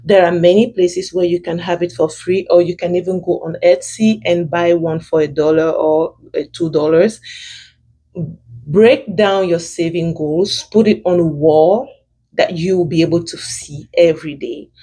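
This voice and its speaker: A young woman with a thick French-speaking accent, giving casual money advice to her viewers, pausing often with fillers and restarting her sentences. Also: fairly low pitch, mellow, husky. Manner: hesitant, many fillers and restarts. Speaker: vlogger. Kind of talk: casual personal finance advice.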